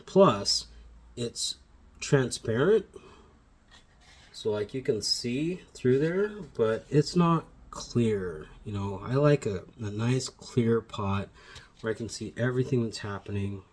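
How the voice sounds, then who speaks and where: A man's voice: medium pitch, lively intonation, slow, husky. A man with a slightly sniffly voice, a plant shop owner chatting casually to viewers, wandering and correcting himself as he goes. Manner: rambling, self-correcting, friendly address to viewers. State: sniffly from allergies. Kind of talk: casual how-to vlog. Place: indoors at home among plants. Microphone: phone camera mic.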